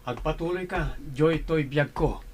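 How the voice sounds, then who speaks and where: An actor with an Ilocano Filipino accent playing a devoted, romantic young suitor in a radio drama. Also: male voice, on the high side, measured pace, slight background noise, natural voice. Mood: tender, romantic. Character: devoted, romantic young suitor, sweet-talking his beloved.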